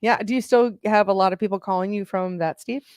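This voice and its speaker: A woman's voice: medium pitch, steady tone, brisk, a little mellow, clean tone, dry recording, studio quality. A woman co-hosting a live racing engine show, chatting casually and rambling a little as she greets viewers and reads out their comments. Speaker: co-host of a racing engine livestream. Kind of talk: casual chat.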